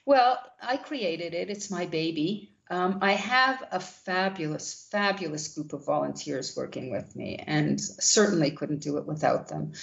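A woman who founded a literary journal answering interview questions, talking gently with many restarts. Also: on the low side, animated, measured pace, creaky, few pauses, highs cut off.

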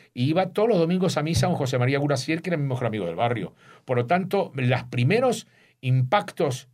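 Thoughtful older man, measured speech. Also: mid-range voice. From Uruguay. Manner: thoughtful, searching for words, frequent restarts. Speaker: author interviewed about his book. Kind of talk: reflective interview talk.

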